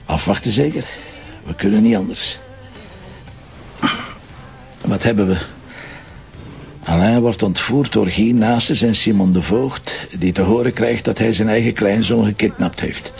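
An actor playing a sleepy, wry police investigator in an intimate radio drama scene, with a Flemish accent. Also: male voice, on the low side, unhurried, vocal fry, pauses often, darkish tone, soft background music. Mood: pondering. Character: sleepy male police investigator mulling over his case.

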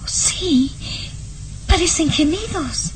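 An actress playing a baron's anxious young daughter in an old radio drama, earnest and alarmed. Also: female voice, extremely high pitch, expressive, very slow, full character voice.

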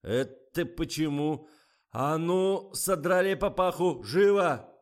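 A man voicing an excitable, hot-tempered advert director in an audiobook, barking orders in blunt slang. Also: mid-range voice, slow, pitched above the performer's own voice, close to an everyday voice. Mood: excited, commanding.

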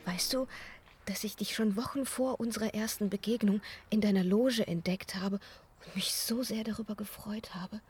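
A woman playing a young, impressionable opera singer, enraptured and fearful, in a breathy, intimate radio drama style. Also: medium pitch, fairly even intonation, moderate pace, slight background noise, lightly acted. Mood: confiding, troubled. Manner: breathy, intimate, slipping into hushed whispers. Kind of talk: German radio drama acting.

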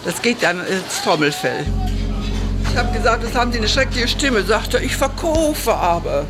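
Complaining woman over loud music. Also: mid-range voice, sing-song, normal speed. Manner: complaining, stumbling over words. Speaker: local resident. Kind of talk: casual street interview. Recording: loud music and traffic behind.

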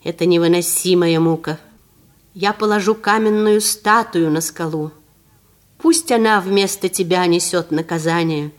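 Fairy-tale old man, tall and mighty, kindly and reassuring, very unhurried, read by a woman. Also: fairly low pitch, close to an everyday voice.

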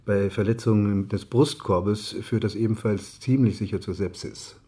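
An actor as a male medical expert with a lowish, mellow voice. He explains clinically and haltingly, feeling for words like a studio guest on the radio. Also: steady tone, natural voice. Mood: clinical, hesitant. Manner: hesitant, clinical, searching for words. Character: matter-of-fact male studio doctor explaining battle wounds. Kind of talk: acted expert interview in a radio play.

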